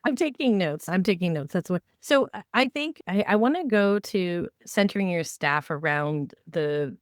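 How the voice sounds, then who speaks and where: Halting, low-voiced woman on a podcast. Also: lively intonation, dry recording, clean studio sound. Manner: halting, repeats words as she works out thoughts. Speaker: podcast co-host. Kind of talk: conversational podcast discussion.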